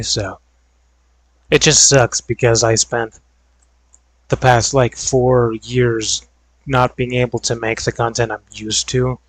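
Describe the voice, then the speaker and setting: A young man talking in a casual, rambling way with lots of fillers, as a video creator chatting before gameplay. His voice is starting to go hoarse. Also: medium pitch, dry recording, poor audio. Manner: rambling, filler-heavy and hedging. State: voice going hoarse. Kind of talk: casual gaming vlog chat.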